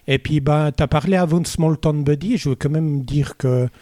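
Mellow-voiced Swiss musician, Vaud accent. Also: male voice, a little high, moderate pace, no room sound, studio quality. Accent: Vaud Swiss French. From Switzerland. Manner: hesitant, many "euh" fillers, chatty storytelling. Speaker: musician guest. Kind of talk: casual interview chat.

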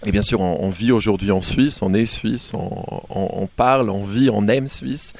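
Low voiced guy being interviewed, casual, kinda halting, repeats words a lot. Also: vocal fry. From born in Algeria. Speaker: interview guest, band singer.

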